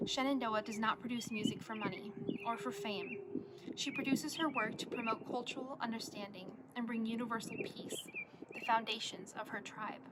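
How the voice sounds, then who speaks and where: A young woman, a college student, reading her own essay aloud as a presentation in an earnest, measured way. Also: on the high side, heavy background noise. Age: young adult.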